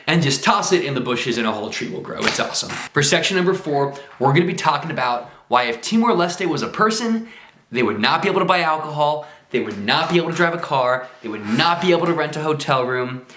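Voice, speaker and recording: Excited man vlogging in an echoey room. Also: a little high, fast talker, slight background noise. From United States. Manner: enthusiastic, exclamatory, chatty. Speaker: travel vlogger. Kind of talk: casual travel vlog talk to camera. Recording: roomy echo.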